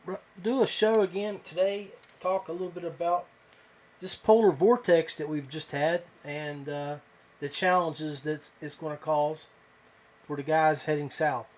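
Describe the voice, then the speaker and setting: Male podcast host, slightly high voice, folksy and informal, thinking aloud in a halting solo monologue. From West Virginia. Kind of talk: casual fishing podcast monologue.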